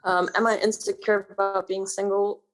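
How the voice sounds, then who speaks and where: A man's voice: high-pitched, flat delivery, normal speed, low-quality recording. A man in his mid-twenties, a panel guest speaking candidly with many fillers. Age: 20s. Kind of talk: casual group discussion.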